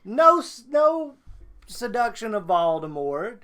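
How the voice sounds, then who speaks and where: A man's voice: high-pitched, very animated, slow pace, bright, forceful tone. A man hosting a reaction video, talking casually with profanity and exasperated hyperbole.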